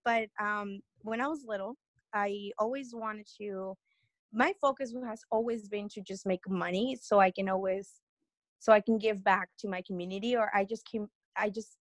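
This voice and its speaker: A woman's voice: mid-range voice, fairly even intonation, normal speed, dry recording. A young woman from Peru, a guest on an interview podcast, telling her story in a rambling, earnest way with many restarts.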